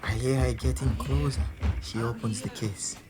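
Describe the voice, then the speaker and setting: A man's voice: mid-range voice, steady tone, moderate pace, lightly acted. An actor playing a frightened male stowaway, narrating his ordeal in short, simple present-tense sentences for an audio drama. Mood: anxious, hushed hope. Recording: noisy ambience behind the voice.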